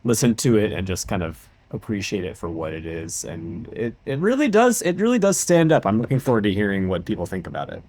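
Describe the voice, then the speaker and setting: Low-voiced man, lively swinging pitch. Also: brisk, few pauses. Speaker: podcast host, drama producer. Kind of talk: casual introduction chat.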